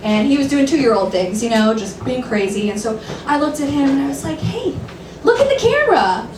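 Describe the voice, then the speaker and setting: A woman in her thirties doing a dry, self-deprecating stand-up set, sometimes shrill and laughing at her own jokes. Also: sing-song, fairly quick. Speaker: stand-up comedian. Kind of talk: stand-up set at an open mic. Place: comedy club stage. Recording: roomy, distant, noisy club recording.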